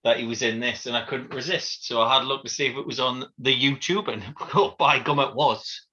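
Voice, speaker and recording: A man's voice: medium pitch, brisk, pushed and bright. A man, co-hosting a casual chat with dry, self-mocking jokes. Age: 40s. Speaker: podcast co-host. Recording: dull lo-fi sound.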